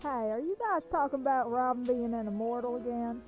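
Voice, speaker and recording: A woman's voice: a little high, brisk, a little mellow, lo-fi sound, faint music behind, close to an everyday voice. A woman playing an eager, naive giant supervillain in a comedic radio play. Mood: eager, clueless.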